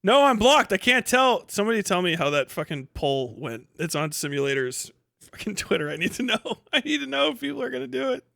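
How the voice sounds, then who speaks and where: A man with a fairly high voice talks as a livestream host, close and dry with little room sound. He is casual, sweary and slangy, commenting on posts with a mocking edge. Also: very animated, fairly quick, vocal fry, bright, forceful tone, no room sound. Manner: often breaks into laughter.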